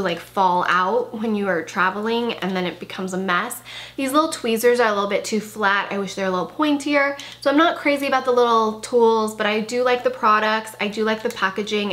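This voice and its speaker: A woman's voice: mid-range voice, fairly bright tone. Chatty woman reviewing makeup, losing her voice. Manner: chatty, enthusiastic, with frequent "like" and "really". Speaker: beauty vlogger. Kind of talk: casual product review. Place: bedroom with clothing rack, indoors.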